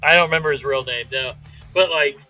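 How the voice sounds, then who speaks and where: Man, late thirties, bright edgy voice, medium pitch, casual swearing, animated storyteller, light background music. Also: a little fast, poor audio. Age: late 30s. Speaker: podcast co-host. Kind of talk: casual profane chat.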